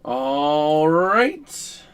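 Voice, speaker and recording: A man hosting a casual live stream about a college football game, his voice scratchy and rough, chatting to viewers with plenty of fillers. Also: fairly high pitch, big pitch swings, extremely slow. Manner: chatty, filler-heavy, talks to chat while working the stream.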